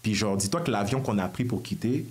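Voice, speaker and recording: A young man from Congo with a Quebec French accent, telling personal stories in a casual podcast chat and jumping into high voices when he quotes people. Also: animated, fairly quick, darkish tone. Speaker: podcast co-host and stand-up comedian.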